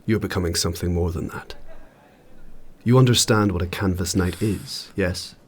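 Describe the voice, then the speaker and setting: An actor playing an ancient, solemn warrior spirit in an audio drama, speaking in a measured, grave and formal way. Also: male voice, slight background noise, natural voice. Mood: grave, instructive. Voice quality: low, husky.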